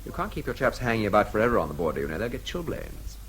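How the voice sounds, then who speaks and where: An actor with a British accent playing a witty, unflappable captain in a radio drama, urbane, flippant and wry. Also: male voice, on the low side, sing-song, very fast, a bit bright, breathy edge, heavily acted. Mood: pointedly teasing. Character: breezy, witty, unflappable captain teasing authority.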